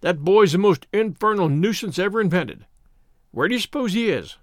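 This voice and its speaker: A man's voice: sits high, expressive, moderate pace, dry recording, studio quality. A man voicing a strict, exasperated schoolmaster in a story, brusque with his scouts.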